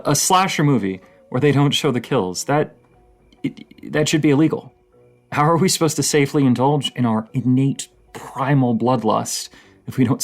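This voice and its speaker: Man acting as a dorky, gravelly-voiced nerdy partner. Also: medium pitch. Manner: halting, stammering, nerdy enthusiasm. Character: dorky, excitable partner, a rambling horror-movie nerd. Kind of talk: intimate roleplay audio, casual chatty rambling.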